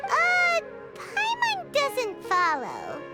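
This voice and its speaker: Tiny blunt fairy sidekick for a video game: very high, wildly animated, childlike. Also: female voice, very slow, heavily acted. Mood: puzzled, curious. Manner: speaks of self in third person, exclaiming. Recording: loud music under the voice.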